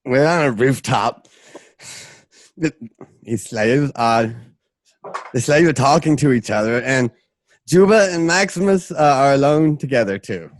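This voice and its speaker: A man narrating stage directions from a script, haltingly, often stumbling and restarting his sentences. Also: medium pitch, expressive, dry recording.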